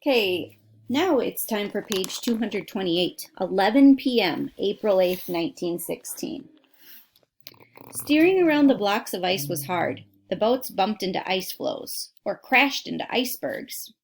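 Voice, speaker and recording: A woman with a medium-pitched voice reads a true adventure story aloud for children. She sounds like a teacher, stressing the dramatic words and addressing her listeners directly. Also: lively intonation, a little slow, vocal fry, a little hiss or hum, poor audio. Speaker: teacher reading a book aloud to students. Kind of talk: expressive read-aloud of a children's nonfiction story with asides. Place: at home.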